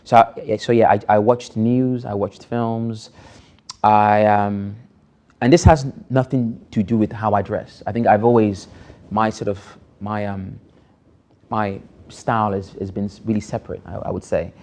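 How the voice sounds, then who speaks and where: A young man in a soft, dark, mellow tone. His voice sits lowish and has a gravelly fry at the edges. He talks reflectively, pausing and restarting his sentences. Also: level tone. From Nigeria. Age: 20s. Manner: hesitant, many restarts and "you know". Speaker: film director being interviewed. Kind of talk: reflective personal interview.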